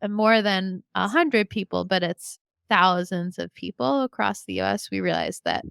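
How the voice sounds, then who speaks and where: A young woman, a community organizer, talking casually on a podcast and telling the story with animated, acted-out quotes. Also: mid-range voice, normal speed, vocal fry, clear, resonant tone, no room sound, clean studio sound.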